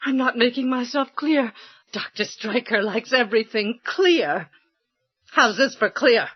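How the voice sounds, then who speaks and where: A slightly muffled recording holds a neglected, embittered wife in a radio-style drama. Her voice is high and she draws her words out slowly. Her delivery is biting and sarcastic. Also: lively intonation, husky. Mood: bitterly sarcastic. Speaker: actor in an audio drama. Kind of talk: audio drama acting. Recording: dull lo-fi audio.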